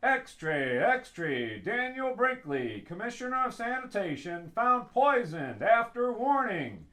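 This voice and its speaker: A man's voice: sits high, very animated, a little slow, sharp tone, full character voice. A man playing a sing-song street newsboy. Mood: hawking. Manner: hawking in sing-song cries. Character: shouting street newsboy selling papers. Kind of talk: radio drama acting.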